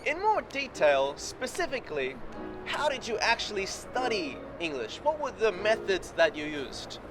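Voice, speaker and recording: A man hosting a casual interview as an English teacher, upbeat and quick with affirmations. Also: high-pitched, sing-song. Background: background music.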